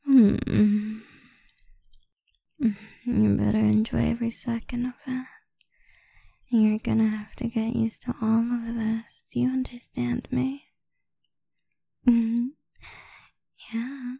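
A woman speaking in a breathy whisper in an erotic roleplay audio, domineering, commanding and taunting. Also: on the high side, mellow, no echo.

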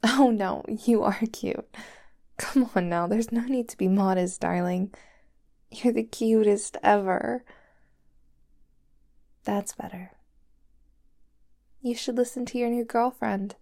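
A woman voicing a doting, possessive young girlfriend who holds her lover captive, talking softly and sweetly in a whispery voice. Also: mid-range voice, slow pace, creaky, pauses often. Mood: soothing. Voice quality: soft and whispery. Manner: sweet, clingy, coaxing. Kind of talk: roleplay audio drama to the listener.

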